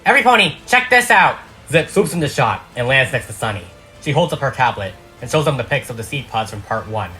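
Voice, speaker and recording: Man narrating theatrically, bright voice over music. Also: mid-range voice, animated, a little fast, hardly any pauses, low-quality recording. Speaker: narrator and script reader. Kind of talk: dramatic scripted narration of a fan audio drama.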